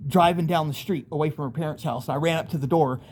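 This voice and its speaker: A man's voice: a little high, fairly even intonation, quick pace, a little mellow. A middle-aged American man telling personal stories casually, in a rambling, candid way with street slang. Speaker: storyteller, channel host. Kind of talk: casual first-person storytelling monologue.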